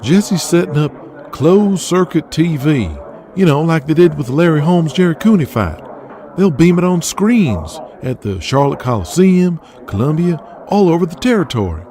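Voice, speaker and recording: A man playing an eager, loyal wrestling booker in an audio drama, pitching things in an informal, persistent way. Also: very animated, normal speed, mellow. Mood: persuading.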